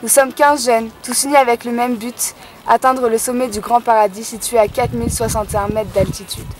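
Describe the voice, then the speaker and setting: A woman's voice: fairly high pitch, fairly even intonation, brisk, darkish tone. A teenage girl opening a camp film with a scripted, storybook-like narration. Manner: storybook-like, earnest. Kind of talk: scripted narration read aloud. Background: music.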